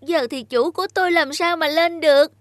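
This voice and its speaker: A woman's voice: very high-pitched, a little fast, no room sound, clean studio sound. A woman voicing a clever, cunning male cat in a cartoon fairy tale, eloquent and courtly in manner. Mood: pleading.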